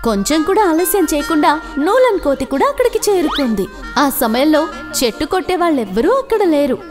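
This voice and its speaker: A woman's voice: high-pitched, very animated, fast, music in the background. A woman narrating a children's story in a scripted storytelling style.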